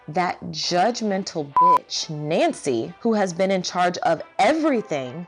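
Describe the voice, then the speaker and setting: A woman playing a catty announcer in a parody wartime radio commercial, reading a scripted persuasive pitch. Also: close to an everyday voice. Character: catty female pitchwoman in a spoof wartime advert.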